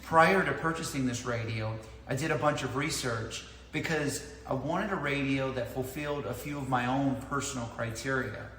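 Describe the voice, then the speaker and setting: A man's voice: medium pitch, moderate pace, hardly any pauses, fairly bright tone. A man in his thirties explaining a product methodically to camera, as a reviewer does. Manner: methodical, explaining points in order. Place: large indoor lounge with tiled floor. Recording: echoey hard-walled room, clear background noise.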